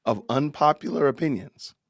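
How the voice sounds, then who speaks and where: A man's voice: medium pitch, moderate pace, no echo, clean studio sound. A man hosting a casual live-stream podcast, ranting with rising emphasis. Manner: animated, preachy rants with rising emphasis. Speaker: podcast host.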